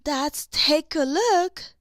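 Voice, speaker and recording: A kindly, wise mother troll from a storybook, put on by a woman reader. She talks in a high voice, slowly, with a dry studio-like closeness. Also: lively intonation, dry recording, full character voice. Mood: cheerful.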